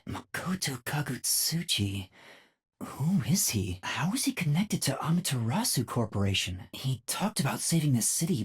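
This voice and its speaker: Timid young male detective, lively husky voice. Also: rumbling low, exaggerated voice. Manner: hesitant, self-doubting, earnest, breathy gasps and stammers. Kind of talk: video game voice acting.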